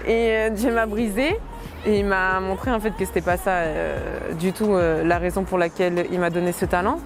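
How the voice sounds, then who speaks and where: A woman in her late twenties, a dancer, talking casually and hesitantly in an interview, with many fillers and soft laughs. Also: mid-range voice, expressive, unhurried, music in the background. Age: late 20s.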